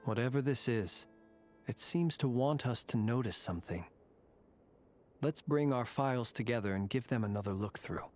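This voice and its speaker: An actor playing a calm, observant young investigator in a video game, speaking in a measured, analytical way. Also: male voice, mid-range voice, natural voice. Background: faint game music.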